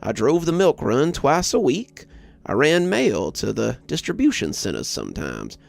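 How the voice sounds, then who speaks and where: A man narrating a scripted first-person story as a horror host, with a measured, dramatic storyteller's delivery and a gravelly voice. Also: on the high side, darkish tone. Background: background music.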